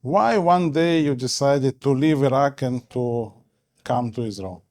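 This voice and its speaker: A male interviewer asking short questions with hesitant pauses and fillers. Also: mid-range voice, darkish tone.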